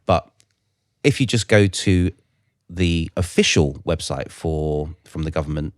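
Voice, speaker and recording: A middle-aged British man co-hosting a casual podcast, explaining things in a halting way. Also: low-pitched, no echo, studio quality. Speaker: podcast co-host.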